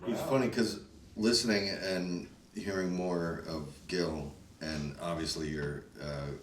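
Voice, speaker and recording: A man with a somewhat low voice chats among friends in an animated way, repeating words as he builds up. He is distant from the microphone in an echoing room. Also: slow, slight background noise. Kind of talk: casual chat among friends. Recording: roomy echo, distant mic.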